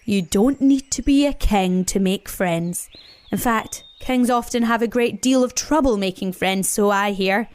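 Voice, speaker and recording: A woman voicing a big, sensible male brown bear in a children's story, speaking slowly and emphatically. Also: medium pitch, darkish tone, close to an everyday voice. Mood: kindly.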